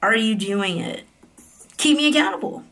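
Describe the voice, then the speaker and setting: A woman's voice: on the high side, animated, moderate pace, creaky, fairly bright tone. Chatty American woman in her late twenties. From United States. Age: late 20s. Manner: chatty and candid, with frequent fillers and self-corrections. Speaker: vlogger. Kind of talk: casual vlog update. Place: office private room at night.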